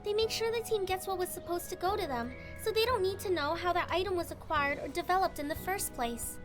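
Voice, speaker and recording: Man doing a falsetto young woman, gentle and long-winded. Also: brisk, soft background music. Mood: explaining. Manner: gentle, explanatory.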